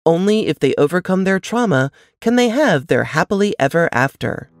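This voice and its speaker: Animated woman reading a book blurb. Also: lowish voice, normal speed. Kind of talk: scripted narration of a book blurb.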